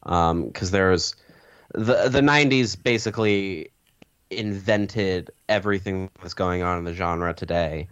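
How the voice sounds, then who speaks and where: A man in his twenties talks in a low, measured voice, choosing his words carefully. The sound is dry and close, with no room around it. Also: smooth, dry recording, highs cut off. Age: 20s. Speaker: podcast co-host. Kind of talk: casual call-in chat.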